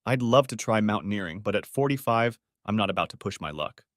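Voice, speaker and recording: A man's voice: on the low side, expressive, fairly quick, dry recording, studio quality. A man narrating a first-person story from a script.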